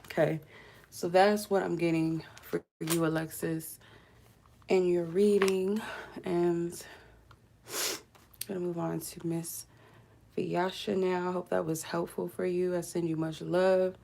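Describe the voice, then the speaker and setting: A woman hosting a live tarot reading with a stuffy cold, rambling with many fillers and dropping to quiet murmured prayers between cards. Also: on the low side, level tone, slow, vocal fry, pauses often, slight background noise.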